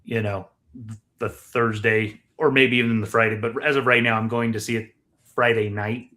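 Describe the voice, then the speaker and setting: A man co-hosting a podcast, chatting casually in a measured, matter-of-fact way. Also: on the low side, fairly even intonation, sharp tone. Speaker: podcast co-host. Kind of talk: casual chat.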